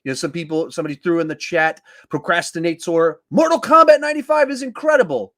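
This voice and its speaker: A man's voice: on the high side, fast talker, a bit bright. A man in his forties hosting a podcast, talking casually and explaining his points with emphasis on key words. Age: 40s. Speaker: podcast host. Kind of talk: casual film discussion podcast.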